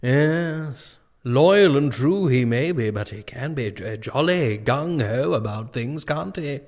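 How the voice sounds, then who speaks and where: A man's voice: mid-range voice, lively intonation, slow pace, a little mellow. A man playing an anxious, doting royal consort with a British accent, stammering and hesitant, in a comic audio drama. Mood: fretful.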